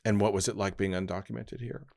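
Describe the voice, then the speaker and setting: A man's voice: lowish voice, fairly even intonation, fast, vocal fry, darkish tone, no echo, studio quality. A man born in Mexico, hosting a podcast and asking his guest short, direct questions. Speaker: podcast host. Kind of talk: interviewing a guest.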